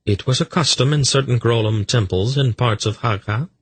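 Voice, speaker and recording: Man doing a composed sorceress, pitched up. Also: moderate pace, husky, no echo. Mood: calm, grave. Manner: calm, explanatory. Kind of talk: fantasy audiobook character voice.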